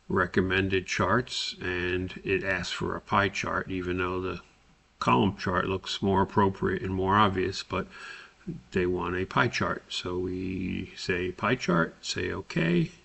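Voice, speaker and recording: A man giving an informal software tutorial, thinking aloud in a halting way as he explains each step. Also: deep voice, fairly even intonation, gravelly. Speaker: tutorial presenter. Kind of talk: informal software screencast walkthrough.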